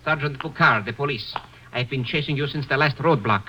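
An actor playing a stern police sergeant with a formal, accusing manner in a radio adventure. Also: male voice, medium pitch, fairly quick, pushed and bright, slight background noise, rough recording. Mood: grim, triumphant. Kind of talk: 1950s radio adventure acting.

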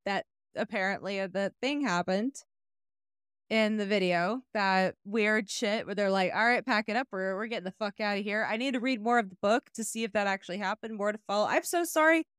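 A young woman hosting a casual podcast chat, swearing freely, excitable and quick to apologize. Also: mid-range voice, clear, resonant tone, no room sound, clean studio sound. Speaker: podcast host presenting research.

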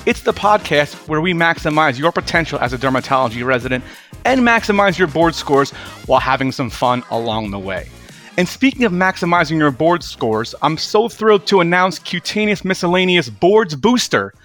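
A man's voice: on the high side, fairly quick, faint music behind. Upbeat young man hosting a podcast. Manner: enthusiastic, animated, chatty. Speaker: podcast host.

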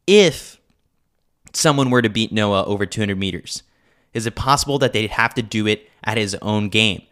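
Male host, lower register, scripted narration of a sports opinion newsletter. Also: a bit bright. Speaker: podcast host reading a newsletter.

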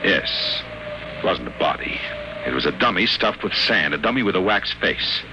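An actor playing a wry, hard-boiled private investigator, deadpan and wisecracking, in the noir style of old-time radio drama. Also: male voice, deep voice, moderate pace, noisy background. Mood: wry, intrigued. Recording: old radio recording with loud music.

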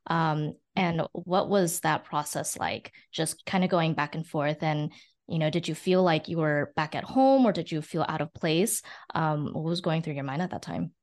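A woman's voice: low voice, normal speed. A woman hosting a podcast interview, asking several questions in a row. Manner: inquisitive, asks several questions at once. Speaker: podcast host. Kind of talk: casual interview.

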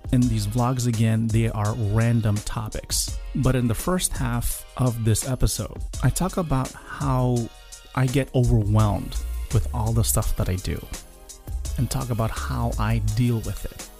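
A man's voice: medium pitch, steady tone, unhurried, warm tone, soft background music. A man hosting a podcast, reading a promotional intro. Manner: promotional, reads calls to action. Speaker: podcast host.

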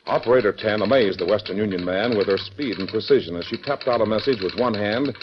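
A man's voice: on the low side, fast, background noise. A man narrating a western serial dramatically in 1940s radio announcer style. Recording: muffled vintage radio recording.

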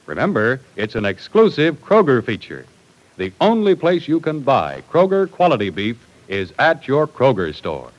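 An American man announcing in an old-time radio style, with emphatic, polished salesmanship for sponsor pitches and serial narration. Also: very animated, moderate pace, rough recording.

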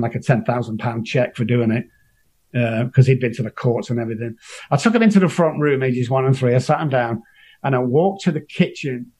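A man's voice: medium pitch, darkish tone. A middle-aged man with a Manchester accent, a guest telling his recovery story in an earnest, emotional way with frequent restarts. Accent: Manchester English. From Manchester, England.

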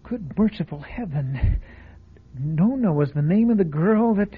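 A stammering, frightened motel guest. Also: male voice, high voice, expressive, unhurried, husky, heavily acted. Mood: scared. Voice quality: stammering, panicky. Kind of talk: old-time radio drama acting. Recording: tinny lo-fi radio broadcast.

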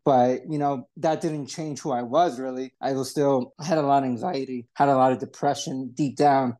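A man's voice: medium pitch, mellow. A man in his twenties telling his own story in a casual, rambling way with many restarts. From United States. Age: 20s. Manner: rambling, frequent restarts and fillers. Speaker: podcast guest.

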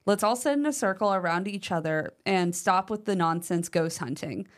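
A woman's voice: fairly low pitch, animated, moderate pace. Chatty woman podcast co-host. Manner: chatty, uses fillers like "like" and "um". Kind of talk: casual podcast chat, reading listener mail aloud.

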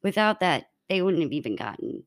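A woman's voice: lowish voice, big pitch swings, fairly quick. Woman telling a true-crime case. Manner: reads case notes, stumbles and restarts. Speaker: podcast co-host telling the case. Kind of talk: casual true-crime podcast storytelling.

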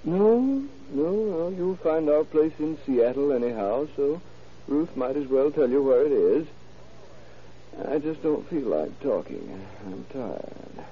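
A man's voice: a little high, lively intonation, slow pace. An actor playing an evasive, mild-mannered older male forger, hesitant and polite, in an old-time radio crime drama. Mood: resigned, polite. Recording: muffled, noisy vintage radio recording.

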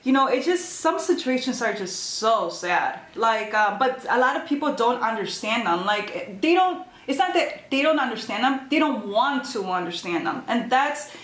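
High-voiced American woman in a roomy echo. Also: big pitch swings, moderate pace, few pauses, a bit bright. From Chicago area, United States. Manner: passionate, talkative. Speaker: interview guest, entrepreneur. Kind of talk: casual interview chat. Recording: roomy echo, clear background noise.

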